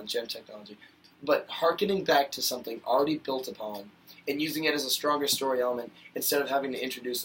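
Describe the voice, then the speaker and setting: A man rambles through a casual video review, full of fillers and asides, and gets heated when he argues a point. His voice is bright and tense, at middle pitch. He sits a little back from the mic, with a faint hiss underneath. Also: far from the mic, low-quality recording. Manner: rambling and chatty with many fillers, gets worked up. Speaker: YouTube vlogger reviewing cartoon episodes.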